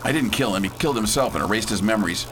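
A man playing a bold scientist who leads a space crew in a radio drama, brisk, folksy and commanding. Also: lowish voice, animated, background noise, close to an everyday voice. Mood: grave, determined. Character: bold, decisive space-hero scientist and leader.

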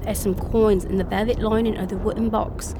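An actress playing a shrewd, secretive young servant lass aboard a ship in an audio drama, speaking in uneducated period dialect. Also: female voice, medium pitch, little pitch movement, fairly quick, noisy background, close to an everyday voice. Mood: conspiratorial.

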